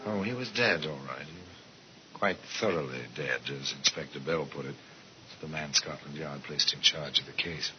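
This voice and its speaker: An actor playing a worldly, sardonic narrator of murder tales in a 1950s radio mystery, with measured, dramatic delivery. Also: male voice, a bass voice. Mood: wry, ominous. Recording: muffled, very noisy old radio recording.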